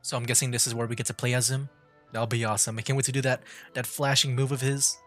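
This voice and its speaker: A man's voice: mid-range voice, level tone, fast, faint music behind. A man giving casual, rambling commentary on a game livestream, often repeating himself as he chats. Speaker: livestreaming VTuber gamer.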